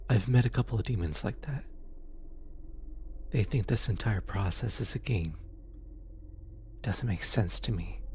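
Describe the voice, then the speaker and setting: A man playing a gentle, apologetic demon who comforts lost souls in an ASMR roleplay, speaking softly and hesitantly. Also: on the low side, slight background noise. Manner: soft, soothing, hesitant with stammers. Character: gentle, apologetic male demon soul collector comforting the newly dead. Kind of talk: ASMR roleplay audio drama.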